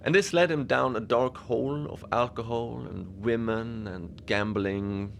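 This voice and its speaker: A man playing an easygoing, whimsical lone Antarctic station manager, rambling in a conversational, deadpan audio-fiction monologue. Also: medium pitch, measured pace, gravelly, slight background noise. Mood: musing storytelling. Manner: musing, conversational, deadpan about absurd things. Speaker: fiction podcast narrator, lone facility manager at a polar station. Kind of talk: first-person audio fiction monologue, rambling radio log.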